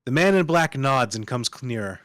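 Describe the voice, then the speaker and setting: A man's voice: medium pitch, expressive, fairly quick, a bit bright, no echo, clean studio sound. A man working as narrator, reading out scripted stage directions for a screenplay table read.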